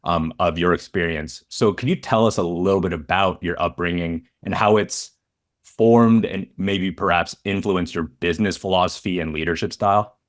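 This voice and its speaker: A man's voice: low-pitched, steady tone, normal speed. A man speaking as a podcast host and interviewer. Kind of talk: casual podcast interview with scripted intro.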